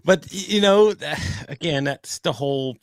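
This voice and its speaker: A man's voice: on the high side, clear, resonant tone, no room sound. A man who is a guest on a livestream chats casually, laughs often and gives tips. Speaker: guest, fellow livestream host. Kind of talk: casual chat.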